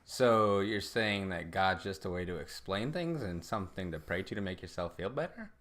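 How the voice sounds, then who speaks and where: A man's voice: low voice, expressive, normal speed, a bit bright. A man co-hosting a podcast in casual, joking banter with informal interjections. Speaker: podcast co-host.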